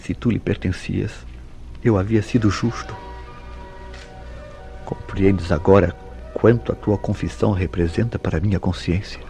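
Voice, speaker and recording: A tormented, low-voiced Brazilian radio soap lover. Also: male voice, steady tone, vocal fry, dark tone, soft background music. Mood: anguished, confessional. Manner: formal, anguished, halting repetitions. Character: guilt-ridden, jealous husband-lover who confessed to murder. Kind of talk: melodramatic radio soap acting.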